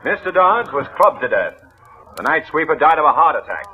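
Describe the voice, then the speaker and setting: An actor playing a sharp, alert young police detective who questions a suspect in a 1940s radio drama, coolly probing and insinuating. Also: male voice, a little high, big pitch swings, fairly quick. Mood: official. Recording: dull old radio broadcast.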